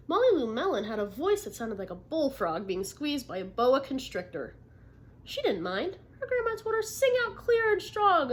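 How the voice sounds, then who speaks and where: A woman reading a picture book aloud to children like a teacher, expressively, pausing to put encouraging questions to her listeners. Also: on the high side, slight background noise. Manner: encouraging, checks understanding with frequent questions. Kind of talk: interactive storybook read-aloud with questions to the listeners.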